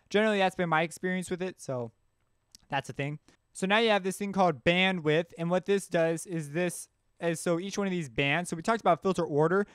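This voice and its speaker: A man hosting a casual music production tutorial, explaining step by step as he demonstrates the software. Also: a little high, smooth, no room sound, clean studio sound.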